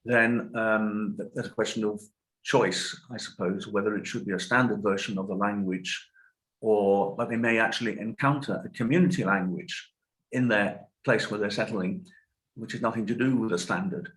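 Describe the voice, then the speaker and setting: A man's voice: fairly low pitch, normal speed, fairly bright tone, rough recording. A man in his sixties, a guest lecturer answering audience questions over a video call, thoughtful and hedging as he corrects himself.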